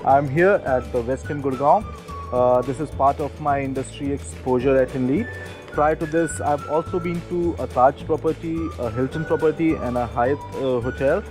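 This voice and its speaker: Young Indian male student, medium pitch, prepared testimonial, music bed. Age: 20s. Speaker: hotel management student.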